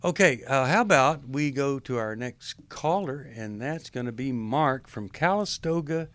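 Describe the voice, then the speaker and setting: A man's voice: medium pitch, expressive, a little slow, a bit bright, dry recording. A man in his sixties hosting a call-in radio show, friendly and joking while steering the call. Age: 60s.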